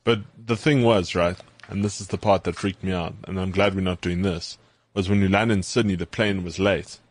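South African man, low voice, casual podcast chat, repeats himself, dry studio sound. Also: normal speed, no room sound. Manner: storytelling, repeats words, relaxed. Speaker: podcast co-host.

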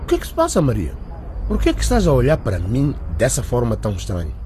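An actor playing a distressed former security guard and father in a radio drama, husky and agitated, protesting and pleading. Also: medium pitch, wildly swooping pitch, fast talker, warm tone, slight background noise. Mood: indignant.

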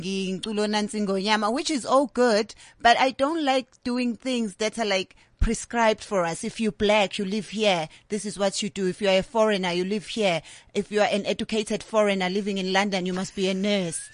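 A South African woman in her thirties speaks as a comedian guest, telling frank, lively stories. Her voice sits at an ordinary pitch for a woman. The sound is dry and close. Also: moderate pace, few pauses, no echo. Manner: candid, animated storytelling mixing English with Zulu. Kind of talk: interview conversation.

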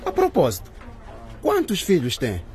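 A man's voice: sits high, huge pitch swings, normal speed, darkish tone, soft background music. A man acting in a radio drama as an intimidating police interrogator with a sarcastic, probing manner. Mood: sly, probing.

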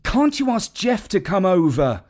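A man's voice: high voice, very animated, unhurried, a little mellow, pitched above the performer's own voice. A man voicing an exasperated, protesting dad with dry humour in a storybook reading.

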